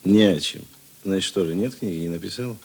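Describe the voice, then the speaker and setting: An actor playing a seasoned police investigator in a radio drama, gruff but talkative, with a husky voice and halting, conversational delivery. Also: male voice, deep voice, darkish tone, heavily acted. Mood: gruff, familiar. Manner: musing, halting, conversational asides. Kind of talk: Russian radio drama dialogue.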